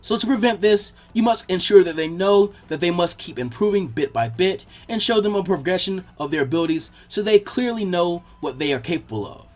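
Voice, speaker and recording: A man reading fan fiction aloud as expressive, scripted story narration. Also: high-pitched, a little fast, few pauses.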